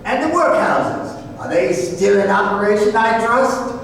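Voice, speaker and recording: Man playing a high-pitched, grudging old miser. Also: expressive, a little slow, fairly bright tone. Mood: cold, dismissive. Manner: curt, grudging, dismissive. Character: cold, grumpy old male miser. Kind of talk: old-time radio play acting. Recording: distant echoey hall, noticeable hiss.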